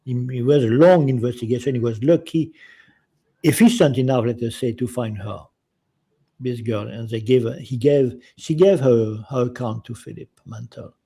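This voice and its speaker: Halting man interviewing. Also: mid-range voice, dark tone, husky. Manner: hesitant, halting, many restarts in his phrasing. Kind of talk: casual interview, partly reading aloud.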